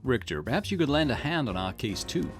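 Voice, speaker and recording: A man reads a mystery game's dialogue aloud for his channel, playing one character after another in a mid-range voice. His pitch swings widely from line to line. Game music plays clearly underneath him. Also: brisk. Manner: switches between character lines with lively pitch swings. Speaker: gaming channel host. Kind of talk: reading game dialogue aloud in a let's play.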